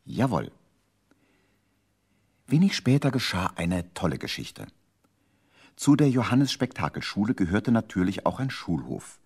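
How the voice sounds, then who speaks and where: A man's voice: on the low side, sing-song, unhurried, long pauses, darkish tone, breathy edge, exaggerated voice. A man narrating a children's radio play as its scripted storyteller. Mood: storytelling.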